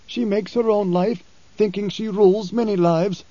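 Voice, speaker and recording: Male narrator doing a blind old desert preacher, solemn, commanding, pitched up, dry dull recording. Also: fairly even intonation, mellow, no room sound, lightly acted. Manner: solemn, measured, raised pitch.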